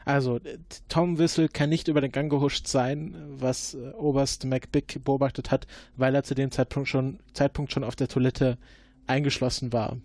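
A man's voice: mid-range voice, flat delivery, darkish tone, no room sound. Guy playing detective in an rpg, mid voice, formal questioning, stumbles a lot, dry recording. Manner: formal, probing, halting. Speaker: player acting as investigating agent. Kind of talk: improvised role-play interrogation.

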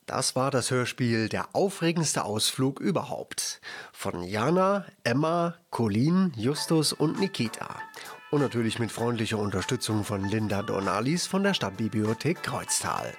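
A man's voice: medium pitch, very animated, measured pace, music in the background. A man presenting on the radio as the host of a show.

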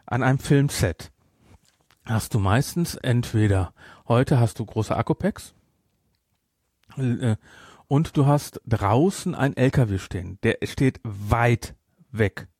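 A man's voice: mid-range voice, expressive, slow, long pauses, darkish tone, no echo, clean studio sound. A man with a German accent chatting casually as a podcast co-host, explaining things in a rambling way with frequent self-corrections. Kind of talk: casual film discussion chat.

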